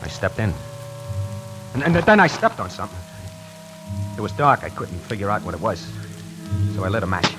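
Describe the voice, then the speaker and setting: A man playing a nervous, talkative tie salesman who narrates his own story in a 1940s radio drama, moving between hushed and frantic. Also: fairly low pitch, sing-song, normal speed, pauses often, music in the background, poor audio. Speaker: radio actor narrating in character.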